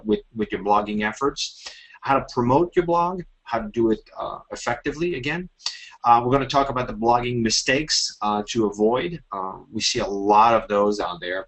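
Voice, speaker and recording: A man in his forties from France, with a French accent, presenting a webinar over a call in a halting way, with many fillers and restarts. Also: on the low side, a bit bright, poor audio.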